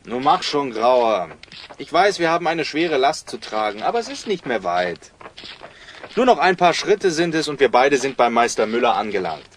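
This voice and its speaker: A man's voice: medium pitch, big pitch swings, normal speed, a little hiss or hum, lightly acted. A man playing a chatty, stubborn young peasant farmer in a German audio drama, hearty and theatrical. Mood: coaxing, chatty.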